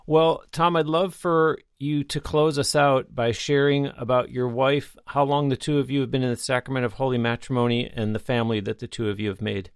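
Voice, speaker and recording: A man's voice: mid-range voice, fairly even intonation, fairly quick, hardly any pauses, smooth, no room sound, clean studio sound. A man hosting a faith radio show, affably wrapping up an interview and leading a prayer.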